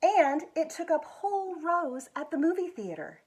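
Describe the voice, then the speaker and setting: An American woman with a husky voice reads a children's picture book aloud expressively, stretching words for emphasis. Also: very high-pitched. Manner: expressive, with drawn-out emphasis and quiet asides to show pictures. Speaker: executive director of a schools foundation, guest reader.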